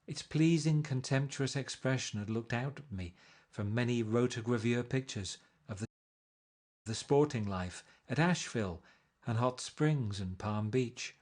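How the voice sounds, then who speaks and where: A man reading a classic novel aloud in a measured, even storytelling delivery. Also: medium pitch. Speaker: audiobook reader.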